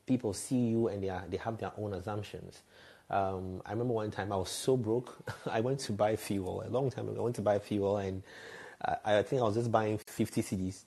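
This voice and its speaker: Man, 30s, low warm voice; reflective, frank guest telling stories. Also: steady tone, fairly quick, vocal fry, slightly rough. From Ghana. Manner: reflective, frank, laughs lightly at himself. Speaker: podcast guest, radio and TV presenter. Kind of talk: candid conversational storytelling.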